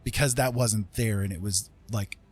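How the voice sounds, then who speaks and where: A husky-voiced man hosts a casual livestream. He rambles along conversationally in a lowish register, talking to his viewers. Music plays behind him. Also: normal speed. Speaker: livestream host.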